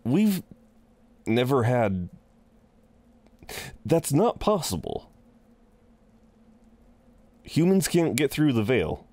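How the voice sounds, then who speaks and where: A man playing a courteous, chatty incubus innkeeper in an intimate audio roleplay, with polite, hesitant pauses and a flirtatious streak. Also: medium pitch, very animated, darkish tone, no echo, voice pushed up, heavily acted. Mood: flustered, hesitant. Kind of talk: intimate scripted roleplay acting.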